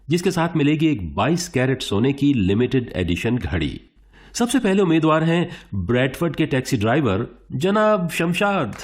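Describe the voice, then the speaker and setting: A man playing a suave quiz show host in a radio play, formal and theatrical, with dramatic pauses. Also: mid-range voice.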